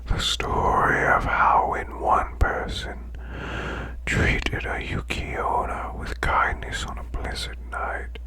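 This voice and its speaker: A man voicing a demon boss in a breathy, growling whisper, reading folklore slowly as soothing bedtime ASMR. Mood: hushed, soothing. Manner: slow, hushed, deliberate recitation. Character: male demon butler's boss, growling softly, soothing storyteller.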